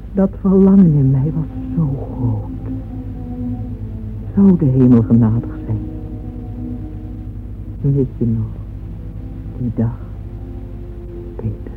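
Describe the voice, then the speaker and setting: An actress playing a lonely, yearning older aristocratic woman in a radio play, speaking slowly, wistfully and intimately. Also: sits low. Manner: slow, wistful, intimate confiding delivery. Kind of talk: old radio drama acting, monologue. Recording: dull old radio recording with music.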